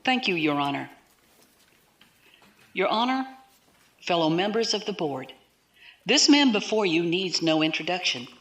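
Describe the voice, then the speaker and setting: An actress playing an earnest military defence counsel in a science fiction audio drama, with a formal, measured, persuasive delivery. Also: female voice, fairly low pitch, big pitch swings. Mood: earnest, impassioned.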